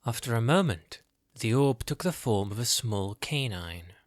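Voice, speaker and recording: A man's voice: medium pitch, lively intonation, measured pace, no room sound, studio quality. A man narrating a scripted fantasy story as its narrator.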